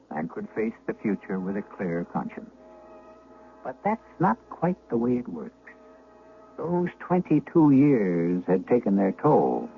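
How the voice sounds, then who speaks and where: An old, narrow-band radio recording with music behind a man narrating a mystery. His low voice has a gravelly creak, and he tells the tale in a measured, dramatic way as the host.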